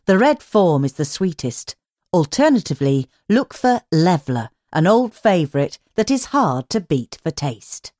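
A British woman narrates written articles in a fairly low voice. Her intonation is very varied, rising and falling through measured, well-marked phrases. The sound is dry and close, with little room in it. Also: darkish tone, no room sound. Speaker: magazine audio edition reader. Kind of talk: scripted narration, read magazine articles.

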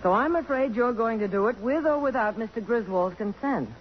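An American actress playing a principled, defiant woman journalist with a crisp, cutting delivery in a 1950s radio drama. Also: on the high side, animated, brisk, lightly acted. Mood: cold, cutting. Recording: dull, noisy old radio broadcast recording.

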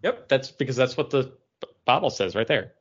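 Man, medium pitch, casual podcast chat, slightly muffled lo-fi sound. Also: sing-song, a little fast, breathy edge. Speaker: podcast co-host.

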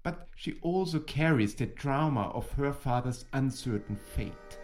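A man's voice: mid-range voice, animated, measured pace, a little mellow, faint music behind. A man co-hosting with serious, prepared explanatory narration.

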